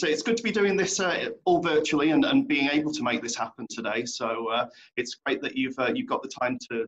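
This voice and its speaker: A man's voice: mid-range voice, a bit bright. A man hosting a casual interview over a video call, polite and informal, with lo-fi sound. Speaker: host. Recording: dull lo-fi call audio.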